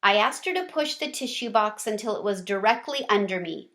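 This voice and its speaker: A woman storyteller reading a children's chapter book aloud in an expressive way, stressing key words. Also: medium pitch, brisk.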